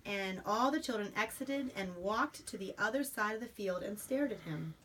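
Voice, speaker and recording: A woman reading a picture book aloud to a young child in an expressive storybook style, with simple chatty asides. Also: mid-range voice, moderate pace. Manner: animated storybook reading, simple child-directed explanations and prompts. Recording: noisy, distant home microphone. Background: room noise and a young child's voice.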